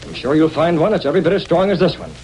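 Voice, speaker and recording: An assured newspaper boss in an old radio serial, played by a man. His fairly high voice moves freely up and down, casual but clearly in command. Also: lively intonation, rapid-fire, darkish tone, lightly acted, poor audio. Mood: firm. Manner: offhand yet authoritative. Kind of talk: 1930s-40s radio drama acting.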